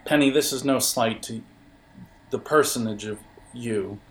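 A man narrating an improvised tabletop game as game master, in a halting, explanatory way with hesitations. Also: medium pitch, a bit bright, slight background noise.

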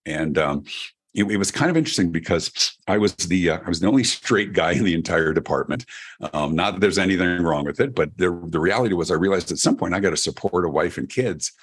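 A middle-aged man from Denver with a deep voice, telling his story as a guest on an interview show. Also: fast, husky. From Denver, Colorado, USA. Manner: animated storyteller, chatty with restarts. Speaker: keynote speaker and guest. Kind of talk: podcast interview.

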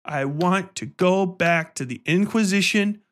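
A man with a somewhat high-pitched voice hosts a podcast. He talks casually and profanely, in an animated, exaggerated comic rant. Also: measured pace. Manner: animated, sweary, exaggerated, hyperbolic. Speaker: podcast host.

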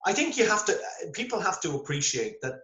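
An Irish man chatting over a video call, telling lively anecdotes with self-deprecating humor. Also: high-pitched, brisk, reverberant, lo-fi sound. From Ireland. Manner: animated storyteller, self-deprecating. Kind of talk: casual video-call interview.

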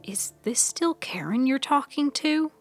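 A woman's voice: sits high, animated, a little slow, soft background music, voice pushed up. A woman voicing a wary, uneasy female friend, hesitant and guarded, in a story podcast. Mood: hushed. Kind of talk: serial fiction audio storytelling.

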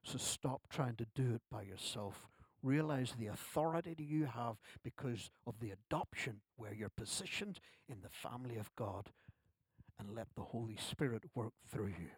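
A man's voice: mid-range voice, animated, normal speed, breathy edge, no echo. A man, preaching a sermon at a church service in a conversational way with self-deprecating asides. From Ireland. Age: 60s. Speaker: pastor.

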